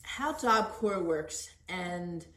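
A woman hosting an informal podcast interview, gently prompting and encouraging. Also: on the low side, animated, extremely slow. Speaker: podcast host. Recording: roomy echo.

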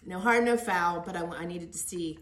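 A woman's voice: fairly low pitch, moderate pace, echoey room. A middle-aged woman hosting a casual conversational interview in an encouraging manner. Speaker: podcast host interviewing her son.

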